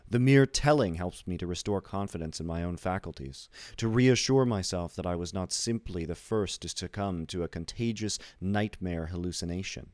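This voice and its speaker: A man with a deep, low voice narrates a literary horror story. His reading is measured and deliberate, and the sound is dry, with very little room around it. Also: darkish tone, dry recording. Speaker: audiobook reader.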